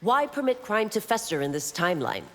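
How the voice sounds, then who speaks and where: A woman's voice: medium pitch, big pitch swings, fairly quick, big-room sound, natural voice. An actress playing a stern, sceptical female constable, serious and questioning, in a fighting game. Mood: stern, doubting. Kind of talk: scripted fighting game dialogue.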